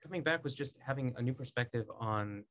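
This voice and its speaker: A man in his thirties from the United States, a podcast guest speaking in a measured, articulate way with frequent "um"s, recorded on a studio boom mic in a small foam-lined studio. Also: fairly bright tone, a little hiss or hum. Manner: measured, articulate, many "um"s and restarts. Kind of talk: casual interview talk.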